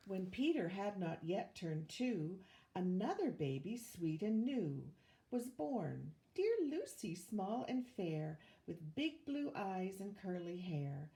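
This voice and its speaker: Older woman, children's story reading, mellow voice, lively intonation, expressive delivery. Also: on the low side, vocal fry. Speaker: volunteer storybook reader, retired teacher. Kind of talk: reading a rhyming children's picture book aloud.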